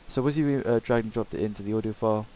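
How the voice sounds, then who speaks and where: Guy doing a casual screen tutorial, really mellow voice, bit rambly, faint hiss on the mic. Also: on the low side, fast talker. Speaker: tutorial presenter. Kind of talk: casual screen-recorded software tutorial, improvised. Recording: hissy home microphone recording.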